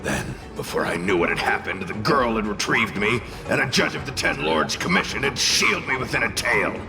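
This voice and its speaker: A haughty, sarcastic spirit from a video game. His gravelly delivery swings wildly up and down and takes its time, dripping with theatrical grumbling. Music plays behind him. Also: lowish voice, big pitch swings, a little fast. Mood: wistful, brooding. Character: grumpy, sarcastic male fiery spirit, haughty and dramatic.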